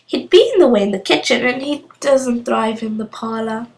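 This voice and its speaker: A woman's voice: a little high, normal speed, darkish tone, natural voice. A woman voicing a cheeky, confident, eccentric young girl from a storybook, cheerful and matter-of-fact.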